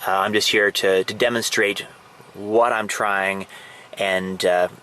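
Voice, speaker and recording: A man giving a casual, halting show-and-tell of a hobby setup, pausing and restarting as he explains. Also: on the low side, little pitch movement, pushed and bright, slight background noise. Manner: hesitant, halting, fragmented delivery. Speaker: amateur radio hobbyist demonstrating his setup. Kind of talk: casual demonstration vlog. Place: outdoors and in a parked vehicle in a residential neighborhood.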